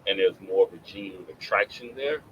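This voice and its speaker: A man's voice: low-pitched, a little fast, muffled recording, a little hiss or hum. A man in a casual group chat, telling loose stories full of restarts. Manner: loose storyteller, lots of "like" and restarts.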